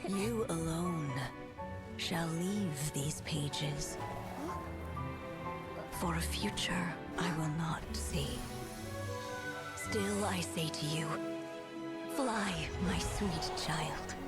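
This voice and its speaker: An actress playing a gentle, wistful woman storyteller in a game, speaking tenderly and solemnly like a mother. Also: fairly low pitch. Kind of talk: dramatic game voice acting. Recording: loud music under the voice.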